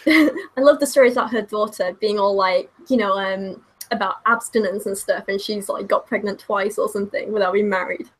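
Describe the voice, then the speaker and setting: A woman's voice: mid-range voice, moderate pace, poor audio. An English woman chatting on a casual video call, giggly and self-deprecating. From England. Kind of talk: casual group video chat.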